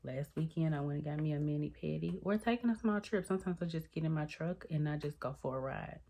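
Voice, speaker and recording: A woman's voice: fast, gravelly. A woman, chatting casually to her viewers and calling them y'all. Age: 30s. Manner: chatty, addresses viewers as y'all, lively swings in pitch. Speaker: vlogger. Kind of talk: casual chat to the camera.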